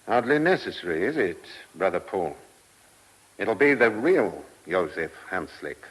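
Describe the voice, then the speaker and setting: Gruff British police inspector, husky voice. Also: male voice, low-pitched, very animated, unhurried, heavily acted. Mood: wry. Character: shrewd, gruff male police chief inspector. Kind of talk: British radio play acting. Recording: old radio drama recording.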